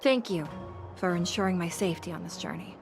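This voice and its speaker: Solemn, formal fantasy judge. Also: female voice, fairly low pitch, fairly quick, gravelly, faint music behind. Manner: formal, grave. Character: solemn, formal female judge of an otherworldly order. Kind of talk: video game voice acting.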